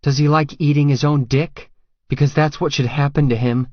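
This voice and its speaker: A man acts out a furious young woman in an audiobook, lifting the pitch of his voice. She sounds fierce and eager for revenge. The recording is dry and close. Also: steady tone, moderate pace, no room sound, dull audio, close to an everyday voice. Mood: vengeful. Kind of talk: audiobook character dialogue.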